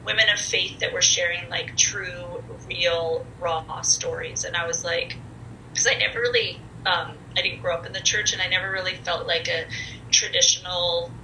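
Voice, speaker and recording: Loud, bright-voiced woman in her forties. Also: lowish voice, fairly even intonation, moderate pace. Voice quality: loud, comfortable speaking voice. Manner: chatty and candid, with frequent fillers. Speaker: podcast guest. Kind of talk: casual conversational storytelling. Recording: echoey room with faint noise.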